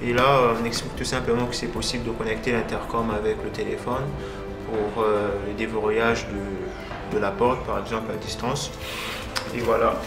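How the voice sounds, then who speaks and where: A man casually presenting and unboxing a product as a video host, with halting phrasing and frequent filler sounds. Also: fairly low pitch, little pitch movement, gravelly, echoey room, music in the background.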